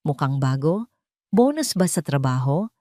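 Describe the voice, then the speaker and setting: A woman's voice: deep voice, sing-song, unhurried, mellow, no echo, pitched below the performer's own voice. Audio drama role: furious, controlled male CEO, commanding and cold, dry close sound, read by a female narrator. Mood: suspicious. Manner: icy, commanding, insistent.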